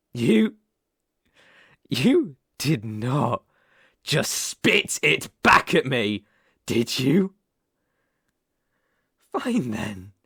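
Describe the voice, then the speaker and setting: Man acting a slow, obsessive British kidnapper boyfriend. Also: medium pitch, vocal fry, fairly bright tone, husky, no echo, clean studio sound, exaggerated voice. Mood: angry, controlled. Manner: condescending, menacing pet-name coaxing. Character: obsessive possessive young male kidnapper, volatile, controlling. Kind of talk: intimate ASMR roleplay acting. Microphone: close microphone.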